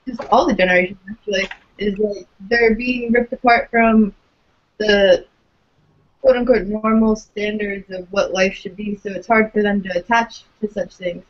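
A young woman co-hosting a podcast interview on a video call, asking questions in a relaxed way. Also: mid-range voice, moderate pace. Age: young adult. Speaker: podcast co-host. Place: small room indoors. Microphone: webcam on video call. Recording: dull lo-fi webcam audio.

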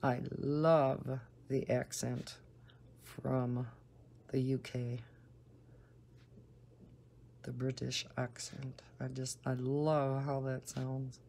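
Northern american lady w a low soft voice, chatting casually, really slow n unhurried. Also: level tone, creaky, a little hiss or hum. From northern United States. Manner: unhurried, deliberately slow talking. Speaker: coloring video host. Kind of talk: casual rambling chat while coloring.

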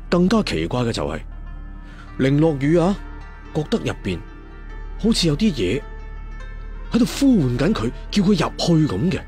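A man's voice: fairly high pitch, wildly swooping pitch, moderate pace, pauses often, darkish tone, faint music behind. A man telling a dramatic story in a colloquial, traditional storyteller's manner, putting questions to his listeners. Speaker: audiobook storyteller.

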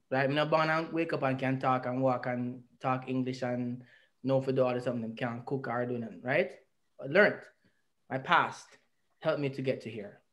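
A man's voice: medium pitch, a bit bright. Earnest Jamaican man on a video call. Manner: earnest, reflective, speaks at length with rising emphasis. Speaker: artist and interview guest. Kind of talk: personal storytelling in an interview. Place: indoor home room. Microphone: video call webcam.

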